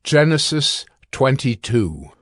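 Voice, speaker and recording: A man narrating scripture from a script in a formal, measured way. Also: mid-range voice, very animated, fairly bright tone, dry recording, studio quality. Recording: background music under the voice.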